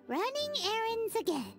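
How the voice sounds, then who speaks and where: A tiny fairy-like girl companion from a video game, chattering in a very high, squeaky voice that leaps all over. She is excitable, blunt and quick to tease. Game music plays clearly behind her. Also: full character voice. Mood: chatty. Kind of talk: game voice acting.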